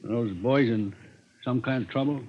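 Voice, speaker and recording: An actor playing a fussy, folksy hotel desk clerk who grumbles and likes things legal, in 1950s radio drama style. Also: male voice, medium pitch, moderate pace, close to an everyday voice. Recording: dull, noisy vintage radio recording.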